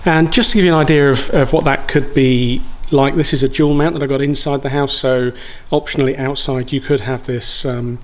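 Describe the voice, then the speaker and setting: A man's voice: medium pitch, fairly even intonation, brisk. A man giving a casual product review, explaining things informally and a little hesitantly. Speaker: product reviewer and home renovator.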